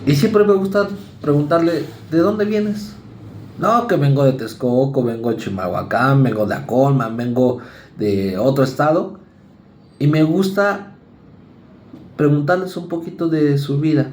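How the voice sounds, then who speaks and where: A male university lecturer with a Mexican accent, giving a conversational psychology class and acting out students and parents in quick asides. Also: fairly high pitch, a little mellow, echoey room. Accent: Mexican Spanish.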